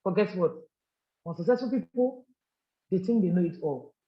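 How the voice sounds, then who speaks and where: A man's voice: high-pitched, expressive, moderate pace. A man giving a business leadership training, earnest and coaching, often checking in with "all right?". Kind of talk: live training presentation to a group. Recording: roomy, muffled lo-fi recording.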